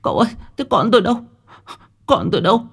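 A man's voice: extremely high pitch, expressive, fast talker, gravelly, a bit bright, husky, pitched above the performer's own voice, exaggerated voice. A man voicing a grieving middle-aged mother who pleads through sobs in a quavering voice. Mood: pleading. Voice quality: quavering, sobbing.

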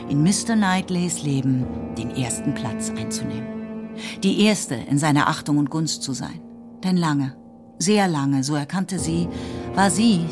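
A woman reading scripted literary narration for a radio play in a measured, composed way. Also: deep voice. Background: music.

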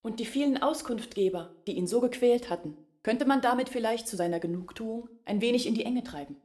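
A woman's voice: fairly high pitch, fairly quick, dark tone, lightly acted. An actress playing an earnest young village woman, explaining in a radio play. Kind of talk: radio drama acting.

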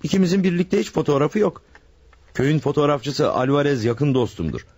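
An actor playing a farmer husband who hides a murder and calmly soothes his new wife in a radio play. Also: fairly high pitch, a little fast. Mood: soothing. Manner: soothing, reassuring, smooth-talking. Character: scheming farmer husband, secretly a killer. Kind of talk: classic radio drama acting.

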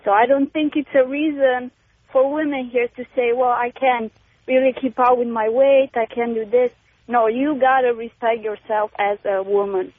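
A woman's voice: a little high, steady tone, moderate pace, no echo. A woman in her twenties with a Romanian accent, calling in to a radio show, speaking earnestly and firmly. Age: 20s. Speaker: caller. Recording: dry, tinny phone line.

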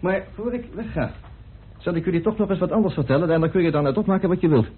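Husky, indignant radio-drama man. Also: high-pitched, quick pace. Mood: bitter, accusing. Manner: emphatic, accusing, rhetorical. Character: grim, indignant man bitterly revealing a hidden truth. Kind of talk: radio play acting. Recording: muffled, narrow old radio recording.

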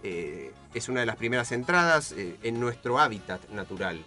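A man's voice: medium pitch, big pitch swings, moderate pace. A man with a Rioplatense Argentine accent, an improv comedy performer talking in a mock-serious, joking way. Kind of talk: playful interview banter and improvised storytelling. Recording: music loud behind the voice.

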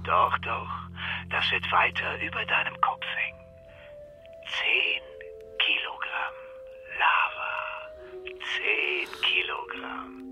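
An actor playing a menacing, mocking policeman who blackmails an informant with slow, half-whispered threats in a radio crime drama. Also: a bass voice, lively intonation, pushed and bright, full character voice, poor audio. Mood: taunting. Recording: music behind the voice.

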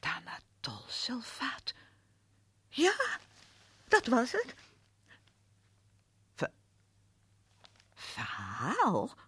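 High-voiced, volatile radio drama murderess. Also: female voice, huge pitch swings, very slow, long pauses, fairly bright tone, husky, heavily acted. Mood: stunned disbelief. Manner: reads aloud, muttering bitter asides, breaking into anguished stammering. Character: distraught woman killer, scornful, then horrified by guilt.

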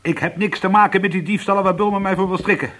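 An actor playing an anxious, aggrieved ex-convict trying to go straight in a Dutch radio play, with a husky voice and a delivery between subdued and agitated. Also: male voice, sits high, steady tone, fast talker, a bit bright. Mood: agitated, indignant. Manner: swings from subdued to agitated protest. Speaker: lead character and narrator.

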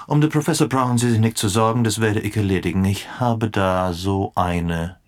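A man's voice: fairly low pitch, moderate pace, natural voice. Man acting a sly detective, wry, inquisitive, bit of english thrown in, radio play. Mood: knowing, secretive. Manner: peppers talk with English phrases, sly. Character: wry, inquisitive male investigator with English interjections.

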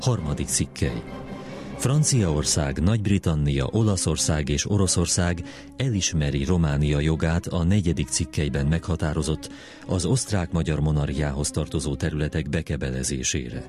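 A man reading official document text aloud in a measured, formal way for a history programme. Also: very deep voice, over music. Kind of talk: formal read-aloud of treaty articles.

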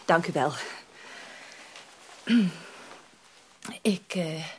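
A woman's voice: on the low side, drawn-out words, a little hiss or hum. An actress in a Dutch radio play playing a genteel, tactful woman who speaks politely and formally, with teasing affection. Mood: polite, pleading. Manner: polite, formal, hesitant phrasing.

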